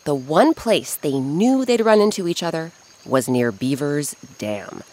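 A woman narrating a children's folktale as an expressive storyteller and host who addresses the listener. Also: low-pitched, measured pace. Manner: animated, audience-addressing storyteller.

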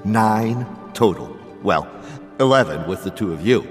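A man's voice: on the low side, animated, unhurried. An actor playing a grandiose, sentimental dead father in a radio soap opera, speaking theatrically and savoring his words. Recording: long reverb with loud music behind.

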